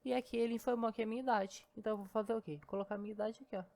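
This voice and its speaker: A woman's voice: fairly high pitch, steady tone, rapid-fire, creaky, dark tone. A woman teaching programming in a casual screen-recorded lesson, chatty and step by step, often saying "ó" and "né".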